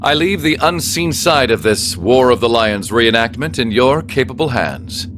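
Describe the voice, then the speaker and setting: An actor playing a cool, refined young noble strategist in a video game, composed and slyly confident. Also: male voice, mid-range voice, animated, normal speed, noisy background, lightly acted. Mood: commanding. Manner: refined, composed, smoothly commanding. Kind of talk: dramatic video game cutscene acting.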